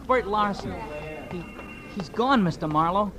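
An actor playing an earnest, worried newsboy, hesitant and slangy, in a 1940s radio drama. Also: male voice, high voice, expressive, unhurried, music in the background. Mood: anxious, earnest. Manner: hesitant, slangy, plain-spoken. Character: worried, earnest streetwise newsboy, polite to adults. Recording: vintage radio, crowd murmur behind.

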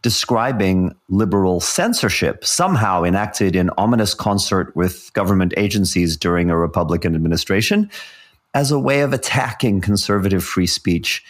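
A man's voice: deep voice, lively intonation, normal speed. A middle-aged man from South Africa co-hosting a podcast, giving articulate, wordy commentary with a wry edge. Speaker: podcast co-host. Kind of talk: podcast commentary, part scripted.

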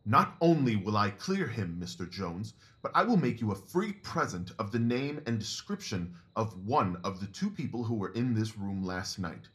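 A man playing a shrewd, commanding Victorian gentleman detective in an audio drama, precise, assured and dryly superior. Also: on the low side, very animated, moderate pace, few pauses, breathy edge, heavily acted. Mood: assertive.

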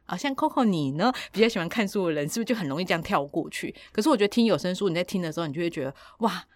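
A Taiwanese Mandarin-speaking woman hosting a casual podcast, chatty and animated, with plenty of opinions. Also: fairly low pitch, racing, no echo. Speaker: podcast host.

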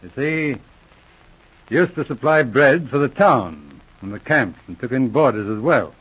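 An actor playing a brisk, level-headed police inspector with a matter-of-fact manner in a 1940s-style radio drama. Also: male voice, mid-range voice, animated, a bit bright, husky. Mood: relaxed, reminiscing. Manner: matter-of-fact, clipped questioning. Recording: dull old radio recording.

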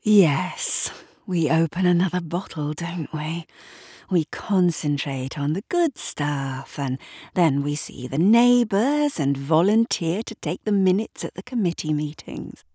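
A woman's voice: fairly low pitch, slow pace, long pauses, dark tone, husky, no echo, clean studio sound, exaggerated voice. A woman playing a desperate, self-loathing drinker who berates herself, bitter and scornful, in a dramatised story. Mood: sneering sarcasm. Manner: bitter, scornful, swinging into anguished wailing.

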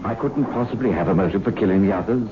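A man's voice: lowish voice, fast talker, over music, close to an everyday voice. An actor in a 1960s radio drama playing an earnest, tense accused man who speaks formally and insistently. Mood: earnest, anxious. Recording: muffled old 1960s radio recording.

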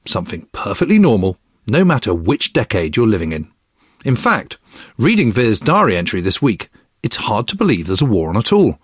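A man presenting a history podcast with scripted narration in a husky voice. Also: on the low side, sing-song, normal speed, dry recording, studio quality.

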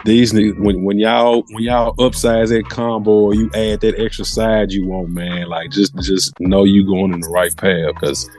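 A man speaking as a podcast guest in casual slang, effusive and halting, often repeating his words. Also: fairly low pitch, flat delivery, darkish tone, soft background music.

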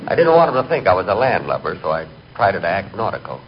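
A hapless, literal-minded middle-aged man narrates in deadpan 1940s radio style. His voice is low with lively swings of pitch. The recording is dull and clearly noisy. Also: fast talker. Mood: storytelling. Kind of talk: 1940s radio comic monologue.

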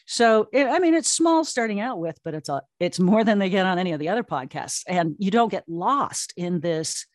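A woman's voice: mid-range voice, animated, moderate pace, no echo, studio quality. A woman speaking as an interview guest, answering conversationally and telling stories with emphatic repetition. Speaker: guest, audio drama platform co-founder.

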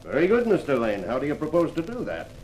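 An actor playing an imposing, gray-haired, pompous senator speaking formally in a 1950s radio drama. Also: male voice, a little high, huge pitch swings, fast talker, darkish tone. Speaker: actor playing a committee chairman. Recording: noisy old radio recording.

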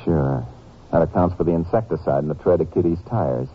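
An actor playing a steady, shrewd Texas Ranger in a 1950s radio drama, speaking and narrating in a terse, matter-of-fact way. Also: male voice, deep voice, fairly quick, breathy edge. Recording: muffled old-time radio recording with music.

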